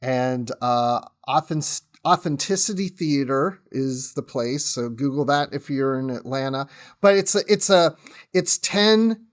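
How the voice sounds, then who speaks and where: A man's voice: medium pitch, animated, measured pace. A talkative man chatting casually as a podcast guest, often breaking off and restarting his own sentences. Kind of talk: casual chat.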